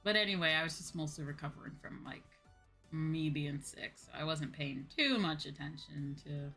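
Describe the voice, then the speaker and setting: A woman hosts a casual chat stream in a fairly low but clearly bright voice. She talks candidly and informally, repeating points for emphasis. Music plays behind her. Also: normal speed. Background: background music.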